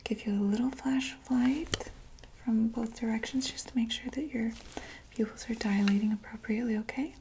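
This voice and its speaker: A woman whispering softly in a breathy ASMR medical roleplay, gently and tentatively talking through each step as a doctor. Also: on the high side, fairly even intonation, normal speed, slight background noise. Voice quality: breathy, mostly unvoiced whisper.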